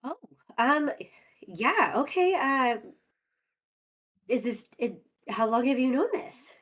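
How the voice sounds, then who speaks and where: Woman, game player, slightly high voice, informal, conversational improvised dialogue. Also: animated, slow pace. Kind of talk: casual in-character improvised chat.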